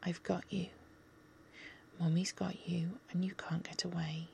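Female ASMR whisperer, low fry-edged whisper, unhurried, coaxing yet firmly in control. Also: level tone, darkish tone, slight background noise. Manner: soothing, coaxing, commanding.

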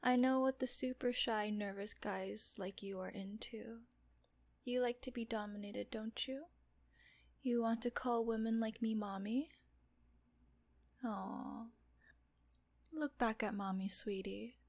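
A woman voicing a teasing, doting female nurse in an intimate audio roleplay, talking softly and often in a whisper. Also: on the high side, fairly even intonation, takes it slowly, pauses often. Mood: teasing, coaxing.

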